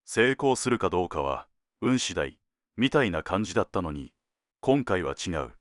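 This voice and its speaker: A man playing a world-weary senior detective in an audio drama, laconic and deliberate, given to dry teasing jokes. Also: deep voice, big pitch swings, no echo. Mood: wry, businesslike. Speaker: police detective at headquarters.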